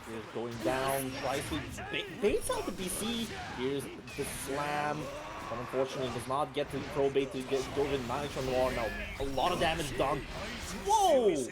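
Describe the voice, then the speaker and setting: A man doing play-by-play commentary on a fighting game, excitable and bursting loud at big plays. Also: mid-range voice, moderate pace, loud music behind the voice. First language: not French. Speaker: esports commentator.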